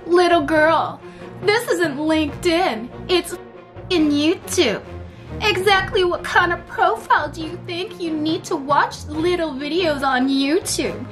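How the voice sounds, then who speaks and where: Woman putting on a smug, high-voiced male internet commenter. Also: a bit bright. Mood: condescending. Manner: mocking, theatrical, patronizing. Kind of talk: dramatic read of comments.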